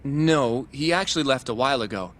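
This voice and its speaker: An actor playing an earnest, polite young junior lawyer in an English game dub. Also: male voice, medium pitch, lively intonation, fairly quick, a bit bright, slight background noise, lightly acted. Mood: friendly. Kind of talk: English dub cutscene acting.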